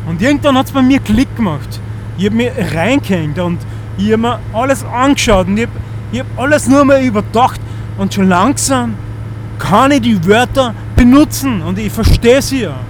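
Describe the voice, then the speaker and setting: A man's voice: very high-pitched, expressive, moderate pace, slight background noise. A man in his thirties with an Austrian accent, talking in an earnest, rambling monologue and often restarting as he searches for words. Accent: Austrian German. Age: 30s.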